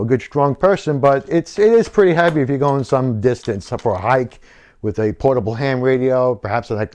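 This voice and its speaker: A man's voice: medium pitch, darkish tone. A man presenting a hobby how-to in a practical, conversational way, explaining things informally as he goes.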